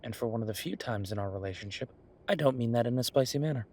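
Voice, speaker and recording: A man playing an affectionate, teasing chef boyfriend in an intimate roleplay audio, talking in a conversational, reassuring way with a husky voice. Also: medium pitch, fast, a little mellow, close to an everyday voice. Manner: conversational, reassuring, playfully bantering. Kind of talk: intimate one-sided boyfriend roleplay acting.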